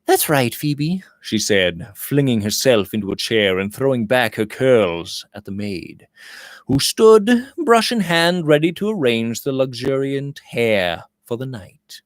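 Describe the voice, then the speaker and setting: A man reads a classic novel aloud with theatrical flair. His pitch is moderate and moves up and down freely. The sound is close and dry. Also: sing-song, a little slow, no room sound. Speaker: audiobook reader. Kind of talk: expressive narration of a Victorian novel.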